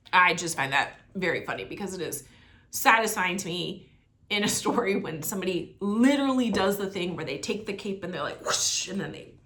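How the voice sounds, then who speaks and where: A woman teacher explaining a story in a casual, animated, colloquial way full of slang. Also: on the low side. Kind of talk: casual lesson explaining a story read aloud.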